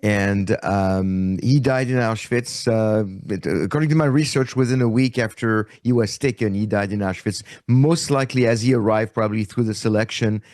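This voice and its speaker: A man in his sixties who grew up in France, a guest in an interview, speaking haltingly with many fillers. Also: on the low side, a little mellow, dry recording, studio quality. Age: 60s. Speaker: guest, author and educator. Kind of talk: interview conversation.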